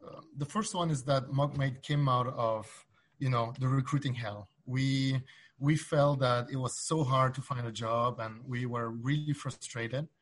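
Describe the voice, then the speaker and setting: A man from Belgium speaking as an interview guest, explaining in a casual, halting way. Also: mid-range voice, steady tone. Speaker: interview guest, startup founder.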